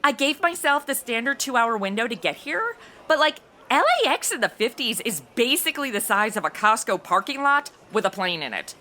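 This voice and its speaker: A woman's voice: a little high, expressive, a little fast, pushed and bright. A woman voicing a sassy, chatty modern young American woman in a comedy audio drama, with sarcastic, exaggerated reactions.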